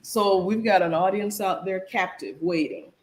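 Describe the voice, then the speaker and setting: A show host opening an interview in a chatty, animated way, repeating words when asking a question. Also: medium pitch, moderate pace. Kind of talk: conversational interview. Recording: echoey room.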